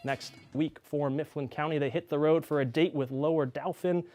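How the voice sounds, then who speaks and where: A man working as a sports anchor, narrating game highlights from a script with an emphatic play-by-play delivery. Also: steady tone, moderate pace, darkish tone, soft background music.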